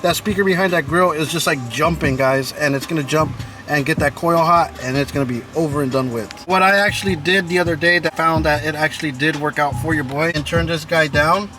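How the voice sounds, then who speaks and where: A man's voice: on the high side, fairly even intonation, fairly quick. A man giving a casual, chatty how-to talk about tuning his truck's speakers, recorded inside the truck. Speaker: car audio vlogger. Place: inside a truck with the stereo playing. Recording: loud music behind the voice. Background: car stereo music playing.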